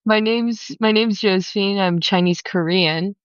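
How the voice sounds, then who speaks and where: A woman from the United States, a guest in a casual chat, speaking in a relaxed, measured way. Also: medium pitch, clear, resonant tone, dry recording, dull audio.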